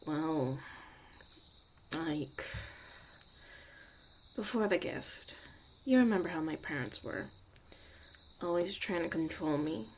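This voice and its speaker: Over clear background noise comes a jaded young witch mother acted out by a woman in an intimate ASMR roleplay. Her voice sits on the low side and moves at an unhurried pace. She talks bluntly and sardonically, like a tired survivor who has little patience for people. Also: expressive, darkish tone, heavily acted. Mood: wistful, resentful. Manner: sardonic, casual, swearing, dismissive.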